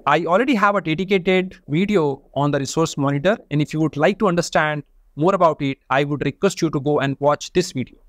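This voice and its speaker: A man teaching a screen-share software tutorial, explaining each step in a methodical way. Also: a little high, fairly quick, no room sound, studio quality. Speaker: data engineering instructor.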